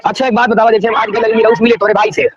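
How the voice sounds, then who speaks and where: Fast high-pitched Bhojpuri man on phone. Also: level tone, a little hiss or hum. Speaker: person taking a phone call, offering support. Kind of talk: casual phone conversation. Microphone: phone call. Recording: dull, lo-fi recorded phone call.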